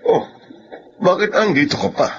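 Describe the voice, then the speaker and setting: An actor playing a considerate Filipino office boss in a radio drama, casual and chummy. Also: male voice, high voice, lively intonation, normal speed. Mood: friendly. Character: friendly, considerate married male office boss. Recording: dull, noisy lo-fi drama recording.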